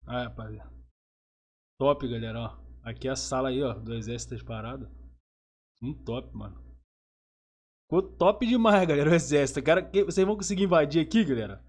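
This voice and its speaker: A man's voice: mid-range voice, animated, a little fast, pauses often, a little mellow. A Brazilian man giving casual, enthusiastic gameplay commentary full of slang, speaking into a gaming headset. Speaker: streamer, host of the channel.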